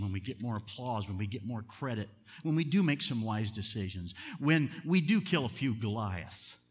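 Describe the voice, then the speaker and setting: A middle-aged man, a pastor, preaching a sermon in an earnest, measured way, slowing down to stress key words. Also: fairly low pitch, slightly rough. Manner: earnest, measured preaching with deliberate slow emphasis. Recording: long reverb of a large hall.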